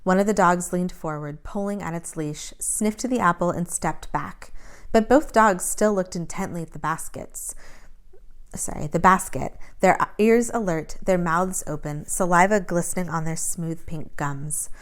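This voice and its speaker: A woman reading a children's novel aloud as an expressive story narrator. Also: on the low side, measured pace, smooth. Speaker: story reader.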